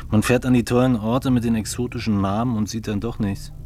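A man playing a smooth, probing travelling buyer in a naturalistic radio drama, with a creaky voice. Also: lowish voice, steady tone, brisk, darkish tone, soft background music, natural voice. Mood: world-weary. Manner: probing, insinuating. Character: smooth, insinuating travelling buyer, a propositioning businessman.

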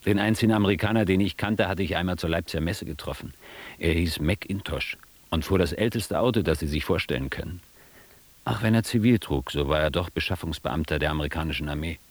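An actor playing a worldly, ironic young East German writer looking back in a radio drama. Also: male voice, low voice, fast, no echo. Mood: storytelling, wry. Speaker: actor playing narrator and lead. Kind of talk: radio drama narration and dialogue.

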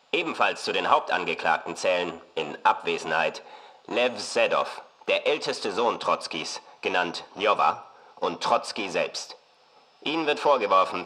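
A man's voice: sits low, bright, forceful tone, rough recording. A man reading formal, documentary-style scripted narration in a radio drama. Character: formal chronicler reporting a political trial.

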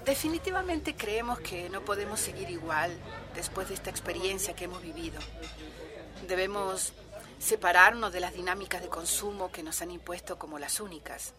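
An actress in a radio drama playing an earnest, proud village farmer who speaks out as an activist in an interview. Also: female voice, medium pitch, natural voice.

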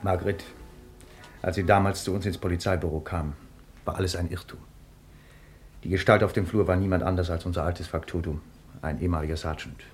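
Very deep-voiced, earnest police investigator. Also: male voice, steady tone, brisk, pauses often, soft background music. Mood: sober, restrained. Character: earnest male police investigator, protective and stoic. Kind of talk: 1950s German radio play acting.

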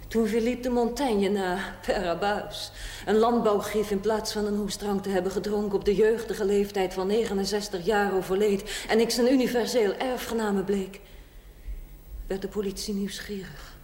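An actress playing a worldly, unrepentant older woman in a television drama, speaking deliberately and theatrically with dry sarcasm. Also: medium pitch, close to an everyday voice. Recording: echoey hall with steady hiss.

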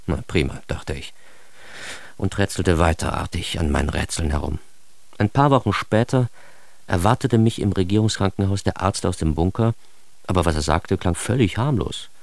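A man's voice: sits low, expressive, normal speed, gravelly, no echo. An actor playing a self-aggrandizing, wry man telling his own absurd story in a radio drama monologue, ironic and theatrical. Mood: dry, ironic. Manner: ironic, theatrical, shifting into hushed asides.

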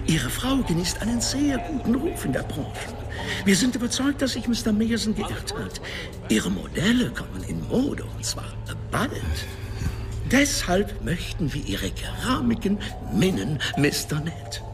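An actor in a German radio drama playing a sly, insistent businessman who coaxes with oily politeness and pointed pauses. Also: high-pitched, huge pitch swings, exaggerated voice. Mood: flattering, coaxing. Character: smooth, slyly insistent male businessman making a shady deal. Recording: music behind.

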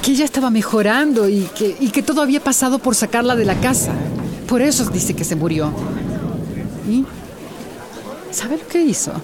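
An actress playing a troubled, defensive woman doctor in a radio drama, with a halting way of talking. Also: on the high side, lively intonation, crowd noise, natural voice. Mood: upset, regretful. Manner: halting, hesitant, repeats words.

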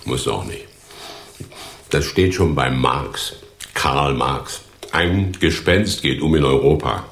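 A boastful, bitter tramp who once trod the boards, in a radio play. His voice is extremely deep, full of creak and recorded very dry. He talks crudely and theatrically, with a sardonic edge. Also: lively intonation, takes it slowly, a bit bright, no echo, heavily acted. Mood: mocking, ranting. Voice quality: very deep, gravelly, husky. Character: bitter, boastful down-and-out former East German actor. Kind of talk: German radio play acting.

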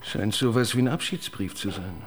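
A man's voice: lowish voice, normal speed, slight background noise, lightly acted. An actor playing an awkward male police assistant in a radio crime drama, who stammers when questioned. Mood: matter-of-fact. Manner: flustered, stammering when pressed.

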